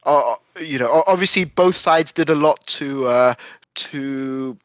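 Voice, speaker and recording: A man's voice: mid-range voice, unhurried, dry recording, dull audio. A man being interviewed as an esports coach, reminiscing conversationally and often circling back to correct himself.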